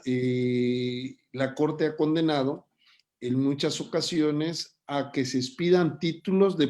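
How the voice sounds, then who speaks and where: A man who hosts and moderates an online lecture, speaking in a measured, deliberate way. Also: a little high, warm tone. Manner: measured, deliberate, pauses with fillers. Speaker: host and moderator.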